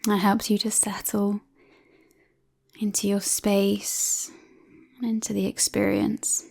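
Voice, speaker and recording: A woman guides a relaxation in a soft, breathy voice that often drops close to a whisper. Her tone is mellow, with a creaky edge. She speaks very slowly and calmly, leaving long pauses between phrases. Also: medium pitch. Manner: calm, soothing, unhurried with long pauses.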